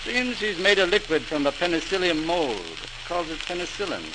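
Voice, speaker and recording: Chatty doctor, high-pitched voice. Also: male voice, lively intonation, normal speed. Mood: eager, informative. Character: chatty, well-informed male doctor sharing medical news. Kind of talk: 1940s radio acting. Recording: noisy old transcribed radio recording.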